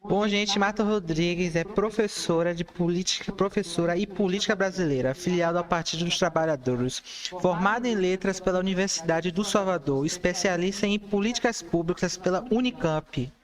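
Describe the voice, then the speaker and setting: Male podcast host, high voice, friendly and informal intro. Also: moderate pace, few pauses, a crowd in the background.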